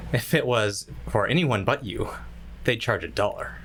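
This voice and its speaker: Brief: man, mid pitch, lively, keeps laughing at the script, video call. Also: a little slow, a little hiss or hum. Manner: laughs through lines, loose. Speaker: cast member in a comedic radio play reading. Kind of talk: 1940s radio acting, chuckling asides.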